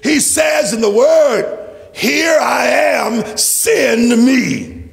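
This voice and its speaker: High-pitched, impassioned male preacher in echoing hall. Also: very slow, creaky, shouting. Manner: declamatory, emphatic, repetitive rhetorical phrasing. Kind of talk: impassioned church sermon. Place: large church. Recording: reverberant hall, faint music.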